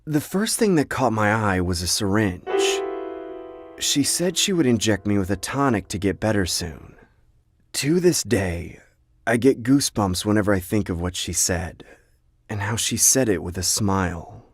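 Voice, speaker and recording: A man with a fairly low voice narrates a scripted horror story. His delivery is dramatic, with very animated intonation, as he tells it in the first person. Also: moderate pace, breathy edge, soft background music.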